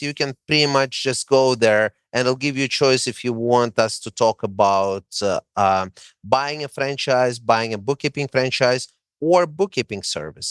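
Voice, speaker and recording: A man's voice: mid-range voice, a bit bright, clean tone, dry recording. A man with a Russian accent, a guest giving emphatic, coaching advice with many "uh" fillers. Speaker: guest, franchise owner. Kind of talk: casual interview chat.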